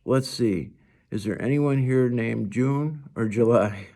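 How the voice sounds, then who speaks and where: A man's voice: medium pitch, slow pace, dark tone. A man voicing a friendly, chatty young schoolgirl in a storybook, earnest and emphatic. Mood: playful, earnest.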